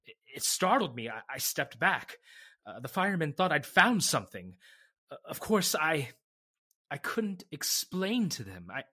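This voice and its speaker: A man playing a shaken, earnest witness in old-time radio drama, speaking in a halting, dramatic way with stammered repetitions. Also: on the high side, sing-song, pushed and bright, husky, heavily acted. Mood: uneasy, awed. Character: shaken, earnest man recounting an uncanny fire, Mister Lamont.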